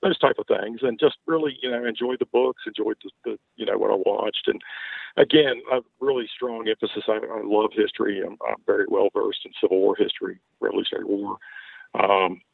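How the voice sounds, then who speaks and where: Older man, light southern accent, low gravelly voice, folksy and rambling, tinny phone-line sound. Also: fairly even intonation, fairly quick, no echo. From Baltimore, Maryland. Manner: folksy, rambling, chuckles often. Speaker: ghost tour owner. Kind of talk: podcast interview over a call.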